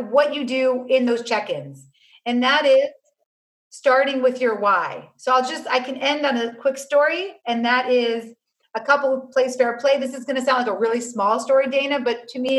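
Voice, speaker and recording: Earnest woman laying out numbered advice. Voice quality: low-voiced by her own account. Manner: earnest, lays out numbered steps, tells anecdotes. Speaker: author and family mediator. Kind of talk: conversational guest explaining advice.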